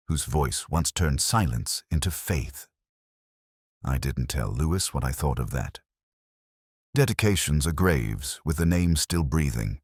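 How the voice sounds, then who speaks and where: An actor playing a weary, reflective police inspector, narrating a mystery in a measured, literary, brooding manner. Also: male voice, very deep voice, breathy edge, no room sound, studio quality, full character voice. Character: weary, reflective male police inspector recounting his case. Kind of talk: scripted first-person mystery narration.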